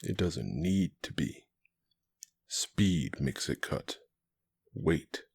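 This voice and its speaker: A performer voicing a giant, solemn male cat-warrior in an audio drama, low and hushed, speaking gravely in few words. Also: expressive, heavily acted. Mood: grave, gentle. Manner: slow, terse, grave. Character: giant gentle solemn cat-man warrior, protective and sorrowful.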